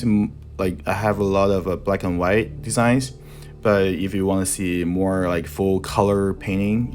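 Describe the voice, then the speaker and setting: A young man with a Chinese accent, a native Mandarin speaker, narrating a voice-over that explains his tattoo design process. He talks haltingly and searches for words in his second language. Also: sits low, a little mellow. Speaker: tattoo artist and art instructor.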